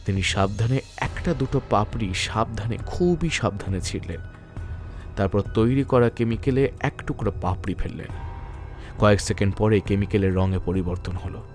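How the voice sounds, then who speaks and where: A man's voice: fairly low pitch, lively intonation, normal speed, a little mellow, faint music behind. A man narrating a scripted detective thriller in a literary, dramatic storytelling manner.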